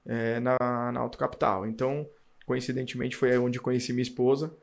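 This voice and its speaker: A man tells his story with animation. His voice is gravelly, with a steady middle pitch. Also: brisk. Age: 40s. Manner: animated storytelling, acts out past dialogue. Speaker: podcast guest, car dealer. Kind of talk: casual storytelling interview.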